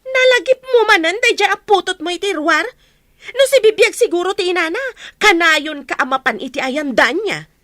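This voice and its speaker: Casting: actress as sharp-tongued village wife, high bright voice, sarcastic nag, radio comedy drama. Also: female voice, expressive, normal speed, dry recording, clean studio sound. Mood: furious. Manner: nagging, sarcastic.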